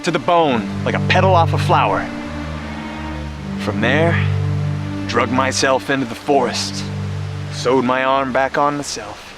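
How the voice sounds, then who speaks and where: A man's voice: medium pitch, fairly bright tone. Guy playing a rugged wasteland drifter, folksy boastful storyteller, film drama with music behind him. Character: rugged post-apocalyptic male wanderer spinning boastful tall tales. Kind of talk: film dialogue, campfire storytelling.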